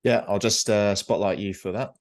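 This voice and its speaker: A man moderating a webinar over a video call, breaking in politely with a halting question. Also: lowish voice, steady tone. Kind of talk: casual moderating.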